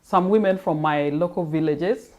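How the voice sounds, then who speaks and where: Earnest woman, lower voice. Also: moderate pace, darkish tone. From northern Uganda. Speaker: guest, charity founder. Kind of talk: casual interview answers.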